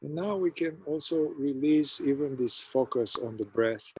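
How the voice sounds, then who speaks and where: A man, a somatic therapist, leading a guided meditation, speaking slowly and softly in short phrases with long pauses. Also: a little high, husky, poor audio. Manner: slow, soft-spoken, with long pauses between phrases.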